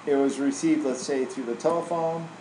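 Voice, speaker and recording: A man walking through software in an informal, casual tutorial style, like a trainer showing someone the steps. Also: mid-range voice, moderate pace. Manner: casual, informal, tags statements with "right?". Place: indoor room, screen recording voice-over. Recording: roomy echo, faint air-conditioning hum.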